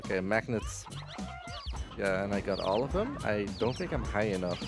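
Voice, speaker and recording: A man's voice: lowish voice, very animated, normal speed. A man giving casual live speedrun commentary at a gaming marathon as he plays. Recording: game music behind the voice.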